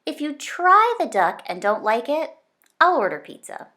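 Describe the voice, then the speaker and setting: A woman's voice: sits high, big pitch swings, unhurried, bright, forceful tone, voice pushed up, exaggerated voice. A woman voicing a friendly, accommodating father in a children's storybook. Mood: coaxing. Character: friendly, accommodating dad of a young boy.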